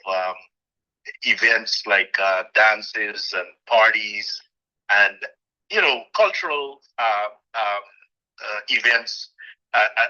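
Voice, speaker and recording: Bright-voiced hesitant man from Guyana. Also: lowish voice. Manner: hesitant, many fillers and restarts. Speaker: guest, president of a community association. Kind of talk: interview answers. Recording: dull, lo-fi sound.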